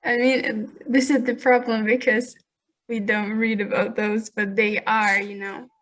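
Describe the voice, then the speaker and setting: Hesitant woman, native Russian, flat high voice. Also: a little slow, rough recording. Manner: hesitant, searching for words. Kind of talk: roundtable discussion. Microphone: video call.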